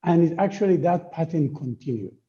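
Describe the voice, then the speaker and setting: A man speaking informally and unscripted on a panel, halting and repeating himself as he searches for words. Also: on the high side, expressive. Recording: dull, muffled online call.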